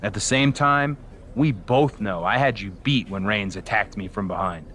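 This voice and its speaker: A man's voice: on the low side, normal speed, a bit bright, lightly acted. A man playing a proud, self-assured wrestling rival in a video game, speaking firmly. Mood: measured, firm. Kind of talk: dramatic video game dialogue. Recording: faint background noise.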